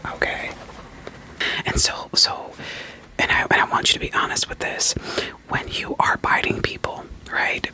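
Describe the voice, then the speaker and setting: A woman doing a soothing ASMR roleplay as a therapist, whispering gently probing questions in a playful way and repeating short phrases. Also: a little slow, long pauses, low-quality recording.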